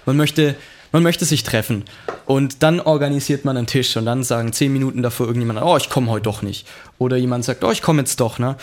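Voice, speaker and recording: Reflective male radio panel guest. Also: mid-range voice. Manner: reflective, thinks aloud in long sentences. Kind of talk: casual radio panel chat.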